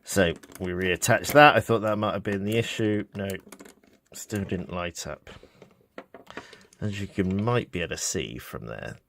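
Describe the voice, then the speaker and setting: A man's voice: deep voice, a little slow, gravelly, a bit bright, slight background noise. A British man giving a casual, unscripted hands-on demonstration, thinking aloud in a hesitant way. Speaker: hobbyist repair presenter. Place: indoors, small office.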